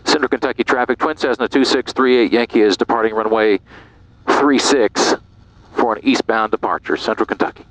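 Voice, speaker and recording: Male pilot vlogger, American, middle-aged, casual, average pitch, recorded on headset in a small plane. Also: brisk, dry recording, rough recording. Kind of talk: casual cockpit vlog and radio calls. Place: small twin-engine airplane cockpit. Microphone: aviation headset boom mic.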